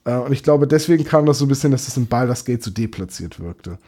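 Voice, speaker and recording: Chatty German game master, mellow voice. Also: male voice, mid-range voice, expressive, quick pace. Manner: chatty, explains at length with many restarts. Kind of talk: casual chat about tabletop games.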